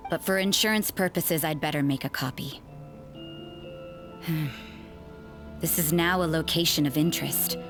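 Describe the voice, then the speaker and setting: A stern, sardonic nun from an anime fantasy game. Her voice sits low, and she speaks with cool, deliberate suspicion and a dry edge, with music underneath. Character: stern, wary, world-weary sardonic nun investigator, adult woman.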